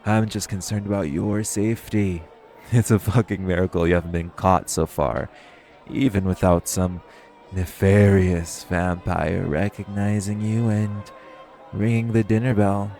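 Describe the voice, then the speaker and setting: A man's voice: low voice, steady tone, measured pace, dark tone, faint music behind. A man playing a teasing, flirtatious and menacing male vampire in an intimate audio roleplay, smug and sardonic. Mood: amused taunting.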